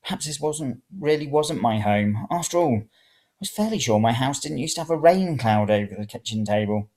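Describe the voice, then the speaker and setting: A narrator reading first-person serial fiction in an expressive, dramatic way. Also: medium pitch, moderate pace. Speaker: story reader.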